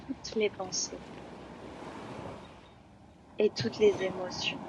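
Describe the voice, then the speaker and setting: A woman teaching yoga nidra, leading a guided relaxation slowly, with long pauses between phrases. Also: mid-range voice. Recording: loud steady ocean-wave noise behind voice. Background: sound of sea waves.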